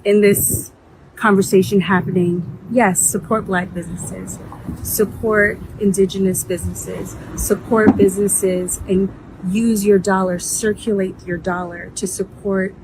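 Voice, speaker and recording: A woman's voice: mid-range voice, fairly even intonation, measured pace, noisy background. A woman business founder giving an earnest, encouraging, faith-filled message. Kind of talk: heartfelt direct-to-camera message.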